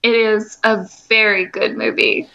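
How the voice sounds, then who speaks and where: A woman's voice: mid-range voice, flat delivery, unhurried, creaky, pushed and bright, dull audio. A woman co-hosting a podcast in casual banter, with a mock-exasperated manner. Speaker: podcast co-host.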